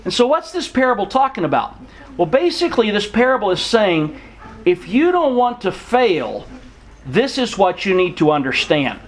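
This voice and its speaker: Man who grew up in mexico giving a sermon, earnest and encouraging, voice a bit on the high side. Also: sing-song, normal speed. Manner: earnest, encouraging, reads scripture aloud. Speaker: preacher. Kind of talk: sermon to missionary kids.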